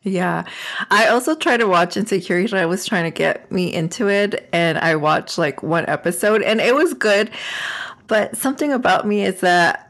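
A woman co-hosting a podcast, talking in a relaxed, candid way with casual fillers. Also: medium pitch, normal speed. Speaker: podcast co-host. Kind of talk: casual chat.

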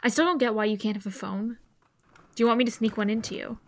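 A woman's voice: a little high, fast, natural voice. A woman playing a loyal, teasing teenage girl in an audio fiction drama.

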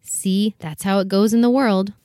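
A woman's voice: medium pitch, steady tone, normal speed, mellow, no echo, studio quality, lightly acted. A woman playing a proud, fussy, protective mother duck in a storybook dramatization, bustling and motherly as she instructs. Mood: proud, instructive. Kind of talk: full cast storybook dramatization.

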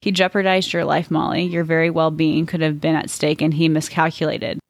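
Woman reading as an intense, creaky male detective. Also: low-pitched, dry recording, studio quality. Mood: flat, accusing. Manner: flat, halting, emphatic.

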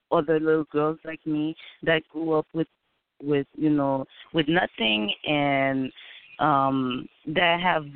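A woman's voice: sits low, clean tone. A woman with a Haitian accent answering interview questions in a hesitant way, with many fillers and restarts. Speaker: interview guest, aspiring model. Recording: phone-like narrow sound.

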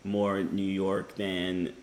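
A man co-hosting a casual debate podcast, talking with many fillers and restarts, growing animated when teased. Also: sits low, extremely slow, a little hiss or hum, low-quality recording. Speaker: podcast co-host.

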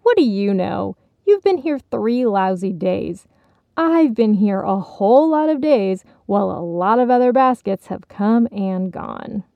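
A woman's voice: medium pitch, animated, unhurried, vocal fry, no room sound, muffled recording. A woman voicing a grumpy, jaded female gift basket in a story, sarcastic and grousing. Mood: scornful.

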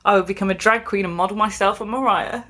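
A woman's voice: mid-range voice, fairly even intonation, fast talker, pushed and bright. A woman reading a story aloud in a casual, amused way, giggly and breaking off to comment like a podcast host.

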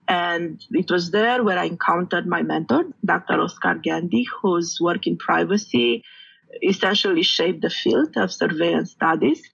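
A woman's voice: lowish voice, normal speed, vocal fry, slightly rough, dull audio. A woman in her fifties from Romania, a professor telling her personal story in a thoughtful interview. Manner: thoughtful, storytelling, occasional soft asides. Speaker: professor and guest.

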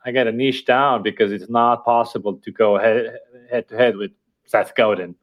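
Podcast guest, male, Estonian native, reflective and halting, mid-range voice. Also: medium pitch, fairly even intonation, highs cut off. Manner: thoughtful, hesitant with fillers and restarts. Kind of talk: casual interview talk.

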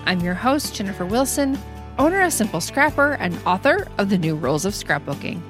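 A woman's voice: mid-range voice, big pitch swings, brisk, a bit bright, faint music behind. A woman hosting a podcast, reading a polished intro and then asking interview questions in an upbeat way. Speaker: podcast host.

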